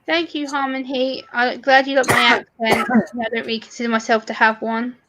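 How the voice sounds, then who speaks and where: A woman's voice: on the high side, little pitch movement, moderate pace, background noise. A woman from England chatting casually on a livestream, reading comments aloud and answering them.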